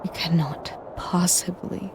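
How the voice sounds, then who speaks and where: Slow, breathy, haughty dragoness, acted by a woman. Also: lowish voice, fairly even intonation, noisy background. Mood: cold, boastful menace. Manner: breathy, half-whispered, slow and menacing; pompous, condescending. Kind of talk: scripted audio roleplay monologue.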